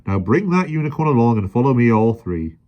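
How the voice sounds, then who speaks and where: A man reading aloud, giving a pompous policeman a loud, indignant voice. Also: mid-range voice, big pitch swings, brisk, highs cut off, voice pushed up, close to an everyday voice. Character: blustering pompous male policeman.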